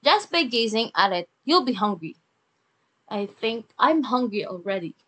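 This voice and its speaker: A woman's voice: on the high side, sing-song, normal speed, fairly bright tone. A woman narrating a screen-share review of bakery websites, mixing scripted lines with chatty asides and fillers. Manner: chatty, reads script then ad-libs with fillers. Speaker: web design reviewer.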